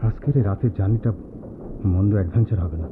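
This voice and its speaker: An actor playing a quiet, studious young man in a radio drama, speaking reflectively with dramatic pauses. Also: fairly low pitch, background noise, close to an everyday voice. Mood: pensive, hesitant. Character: quiet, studious young man recalling his student hostel days. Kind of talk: Bengali radio drama acting. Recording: tinny lo-fi radio play, music behind.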